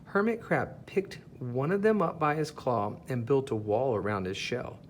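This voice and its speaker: A man reading a children's picture book aloud as an expressive, deliberate storyteller. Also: medium pitch, a little hiss or hum. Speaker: storybook reader.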